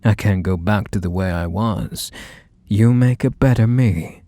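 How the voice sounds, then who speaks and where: A man acting as a possessive, manipulative mafia boss in an intimate ASMR roleplay, coaxing and softly menacing as he speaks to the listener. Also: fairly low pitch, measured pace, no echo, lightly acted. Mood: calming, apologetic.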